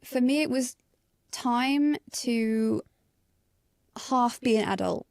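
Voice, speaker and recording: A woman speaking calmly and thoughtfully as a guest in a casual interview. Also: fairly high pitch, slow pace, vocal fry, no room sound, studio quality. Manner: calm, thoughtful, introverted. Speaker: photographer guest.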